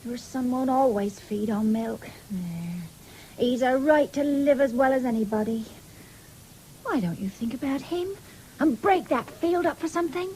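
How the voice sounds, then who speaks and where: A woman's voice: high voice, measured pace, warm tone, a little hiss or hum, low-quality recording. An actress playing an earnest, nagging young country mother in a British radio drama, urging and reproachful. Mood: insistent urging.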